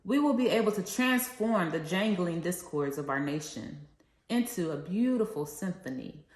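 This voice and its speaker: Echoey low-voiced woman reading for children. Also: expressive, normal speed, darkish tone. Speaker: children's read-along reader and educator. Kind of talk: read-aloud of a famous speech with simple explanations for children. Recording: echoey, reverberant room sound.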